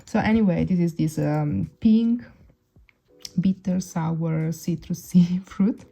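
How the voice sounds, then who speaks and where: Low gravelly woman with Italian native tongue. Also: takes it slowly, warm tone. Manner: chatty, restarts and fillers, laughs often. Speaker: perfume reviewer. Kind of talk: casual chat to camera. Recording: dull lo-fi sound.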